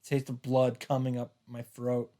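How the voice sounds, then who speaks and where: A man reading a first-person story aloud in a theatrical, halting way, with dramatic emphasis. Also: mid-range voice, completely flat delivery, dark tone. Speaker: streamer reading a visual novel aloud.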